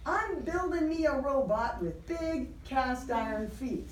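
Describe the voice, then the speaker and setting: Higher-pitched woman reading aloud, slow and lively. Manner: animated, encouraging, acts out sound effects; simple explanations and questions for small children. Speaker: teacher reading aloud to a kindergarten class. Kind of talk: interactive picture-book read-aloud to young children. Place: classroom with young children. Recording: roomy, distant mic, faint background noise.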